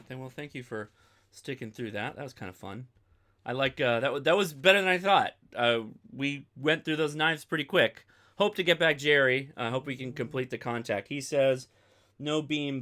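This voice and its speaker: Bright-voiced American man chatting on a livestream. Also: mid-range voice. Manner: rambling, digressive, chatty. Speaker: host of a ham radio live stream. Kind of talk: casual livestream chat.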